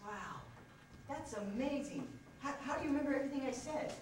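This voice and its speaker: A man's voice: extremely high pitch, animated, fairly quick. A young man acting an earnest, childlike, easygoing bridegroom in an amateur stage play, taped in a noisy hall. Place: school theatre stage, distant camera. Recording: noisy VHS of echoey hall, far off.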